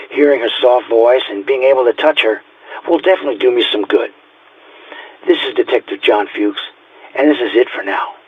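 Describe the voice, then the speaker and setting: A man's voice: mid-range voice, breathy edge, narrow, tinny audio, natural voice. A man playing a weary, haunted police detective nearing retirement, speaking a reflective, confiding journal entry in an audio drama. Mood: uneasy, hopeful.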